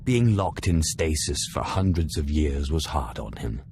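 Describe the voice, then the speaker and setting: An actor playing a cool, self-assured young Sith in a video game, with a measured, dry, terse manner. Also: male voice, a bass voice, very animated, noisy background, heavily acted.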